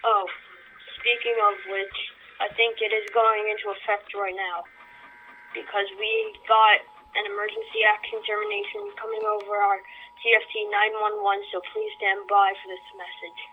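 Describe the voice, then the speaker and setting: Radio newsreader, played by a young man: halting bulletin delivery, swinging pitch, narrow phone-like sound with faint noise. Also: big pitch swings. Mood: newsy, hurried. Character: male New York AM radio news broadcaster. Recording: noisy, tinny phone-like radio.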